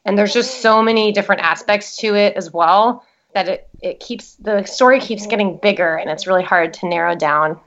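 A woman's voice: mid-range voice, normal speed, few pauses, a bit bright. A young American woman, a documentary producer, answering interview questions in a chatty way with many fillers. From United States. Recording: dull lo-fi sound.

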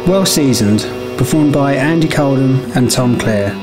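A man's voice: mid-range voice, a little slow. A man reading scripted podcast credits as an announcer. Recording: loud plucked-guitar music behind voice.

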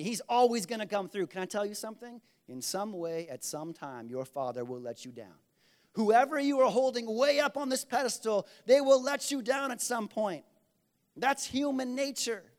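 A man preaching a sermon, repeating key lines for emphasis and swinging between loud bursts and quiet asides. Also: sits high. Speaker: pastor.

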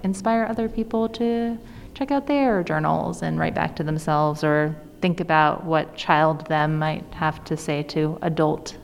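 A woman's voice: low voice, creaky, a little hiss or hum. An American woman being interviewed as an author, talking casually indoors. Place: indoors at a school. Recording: long reverb of a school room.

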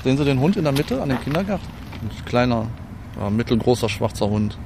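A man's voice: mid-range voice, lively intonation, darkish tone, a little hiss or hum. A man working as an enforcement officer, addressing people formally and firmly outdoors in a city park. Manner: brisk, formal and firm. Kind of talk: official on-the-street exchanges.

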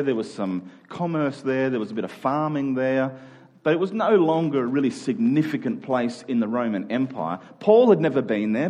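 A man with a slightly high voice delivers a sermon in a conversational way. He explains things in plain, informal words and often repeats a phrase to press it home. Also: darkish tone. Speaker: pastor preaching to a congregation. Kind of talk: sermon, conversational expository preaching.

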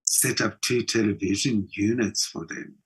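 South african guy, middle-aged, lowish husky voice, casual storytelling in an interview. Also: steady tone, a little slow, faint music behind, rough recording. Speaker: interview guest; skills trainer.

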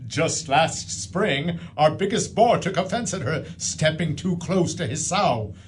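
A man's voice: a little high, animated, measured pace, slight background noise. An actor playing a prosperous, genial older male farmer who speaks in a hearty, folksy way in a radio play. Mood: amused. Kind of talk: community radio play acting.

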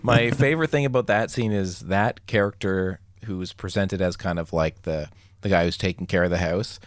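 A man's voice: sits low, moderate pace, no echo, studio quality. A man co-hosting a casual, comedic movie chat, jokey and often laughing as he talks and acts out mock quotes. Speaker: movie podcast co-host.

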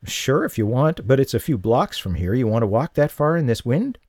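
A man's voice: mid-range voice, very animated, moderate pace, a little mellow, no room sound, studio quality, voice pushed up. A man voicing a worried, guilt-ridden man who talks earnestly, in an audiobook. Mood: friendly. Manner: earnest, pleading.

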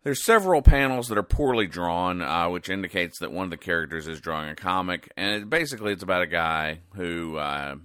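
A man with a deep voice and a bright, forward edge hosts a casual recap show. He rambles and halts in a deadpan way. The sound is close and dry, with hardly any room around it. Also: fairly quick, few pauses, smooth, no echo. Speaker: podcast host. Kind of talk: casual comedic recap of newspaper comics.

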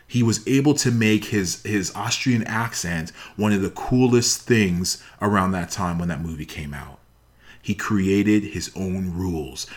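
Low-voiced man giving an emphatic motivational talk. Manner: emphatic, repeats key phrases for emphasis. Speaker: motivational speaker.

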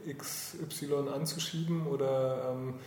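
Mellow male interviewer in an echoey room. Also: medium pitch, fairly even intonation, slow. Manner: hesitant, winding phrasing. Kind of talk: casual, informal interview questioning. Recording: roomy, noticeable background noise.

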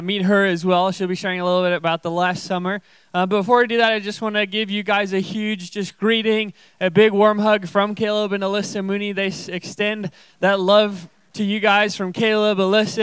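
A man's voice: high voice, fairly bright tone, clear, resonant tone, dry recording. A young man from Zimbabwe, a missionary guest speaker, giving an informal testimony to a church congregation.

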